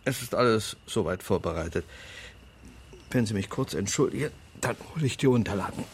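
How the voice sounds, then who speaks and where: An actor playing a frail old lawyer in a radio play, guarded and sardonic, speaking in a measured, formal and pointed way. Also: male voice, lowish voice, lively intonation, a little hiss or hum. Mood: dry, courteous. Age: older.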